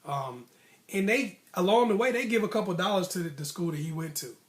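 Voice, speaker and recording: A man's voice: high-pitched, expressive, a little fast. A man telling a personal story in a casual, motivational way, with slangy, emphatic phrasing and repetition for emphasis. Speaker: vlogger, storyteller.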